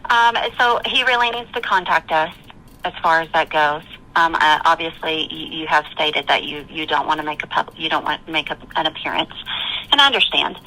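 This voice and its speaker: A woman with a low, forceful voice speaks over a thin telephone line as a manager. She is formal and polite yet firm, with halting, repetitive phrasing. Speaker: campground management staff. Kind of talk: formal customer phone conversation.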